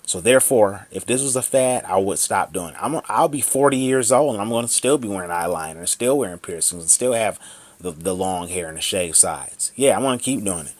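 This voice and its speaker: A man in his thirties vents into his camera in a heated, profane monologue, circling back to the same points again and again. His voice sits fairly low, and the recording is dry with almost no room sound. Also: animated, a little fast, dry recording. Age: 30s. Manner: angry, profane, repetitive ranting. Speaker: vlogger. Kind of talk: ranting vlog monologue.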